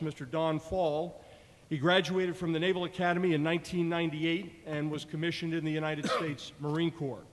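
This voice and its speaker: A man in his fifties moderating a panel, giving a prepared introduction to an audience at a stand microphone in an auditorium. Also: a little high. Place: large auditorium stage. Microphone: table stand microphone. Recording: echoey large hall through PA.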